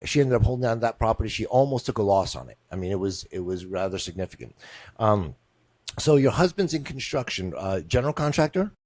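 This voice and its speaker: A man's voice: lowish voice, lively intonation, fast talker, darkish tone, no room sound. A man hosting an interview on a video call, jokey and rambling, often repeating himself. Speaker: interview host. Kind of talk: casual interview.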